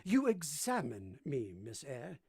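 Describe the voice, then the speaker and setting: A woman playing a brusque, moody gentleman master in a vintage-style radio drama, commanding and teasing as they question. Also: extremely low pitch, wildly swooping pitch, slow, slightly rough, full character voice. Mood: imperious, probing. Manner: imperious, teasing, theatrical questioning.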